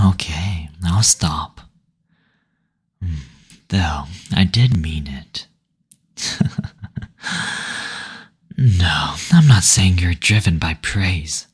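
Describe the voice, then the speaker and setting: A man playing a teasing, gentle male demon in an intimate roleplay audio, speaking softly and breathily, often near a whisper, in a tender, unhurried manner. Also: sits low, husky, exaggerated voice.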